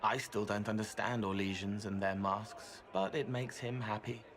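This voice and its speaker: An actor voicing an odd, gentle young male spirit in a video game who speaks quietly, plainly and strangely earnestly. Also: lowish voice, steady tone, normal speed, lightly acted.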